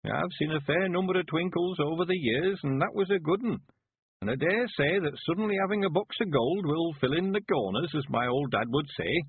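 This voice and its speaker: A man voicing a cheerful, frail 91-year-old man, quavering and slow, rustic and stubborn. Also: fairly high pitch, animated, breathy edge, dry recording, pitched above the performer's own voice, full character voice. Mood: cheery. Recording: phone-like lo-fi sound.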